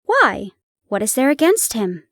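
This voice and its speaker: Tired army doctor back from war, measured and earnest, played by a woman, high voice. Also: big pitch swings, fairly bright tone, no echo, studio quality, exaggerated voice. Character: weary male army doctor, seeking quiet lodgings.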